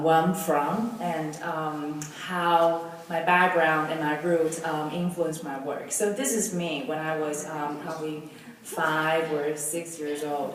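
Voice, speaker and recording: Young woman from Taiwan, Mandarin native, low voice, hesitant designer presenting, recorded from afar in a very reverberant room. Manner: hesitant, many ums and restarts. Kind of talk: presentation talk with slides. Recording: echoey hall, mic from far off.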